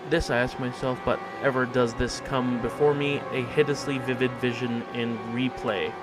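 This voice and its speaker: A man's voice: medium pitch, flat delivery, normal speed. Male game streamer talking over music. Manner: jokey, profane, echoes lines he has heard. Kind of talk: casual live commentary while playing.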